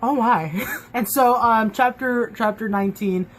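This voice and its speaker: A young woman chatting excitedly in a casual book review vlog, full of fillers and restarts. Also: on the high side, measured pace. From Mexican and Cuban heritage.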